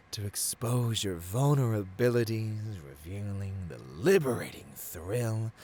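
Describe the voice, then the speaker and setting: Man playing a slow, seductive arrogant supervillain. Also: sits low, darkish tone, a little hiss or hum. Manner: slow, silky, grandiose, slipping into breathy whispers. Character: seductive, arrogant male supervillain tempting a hero. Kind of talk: ASMR roleplay, theatrical monologue.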